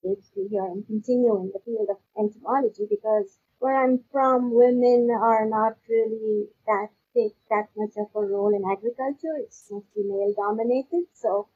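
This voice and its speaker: A woman from Pakistan with a husky voice, answering interview questions conversationally in a halting way, repeating words as she goes. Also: medium pitch, fairly even intonation. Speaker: guest, agricultural research scientist. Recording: dull, lo-fi audio.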